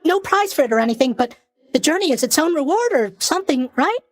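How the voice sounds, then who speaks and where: A man's voice: very high-pitched, lively intonation, fast talker, dry recording. A man playing a cheery, oblivious young god of sleep in a video game, chatty and blithely tactless in his mock sympathy. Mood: cheerfully congratulatory. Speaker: video game character voice actor. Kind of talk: video game character voice lines.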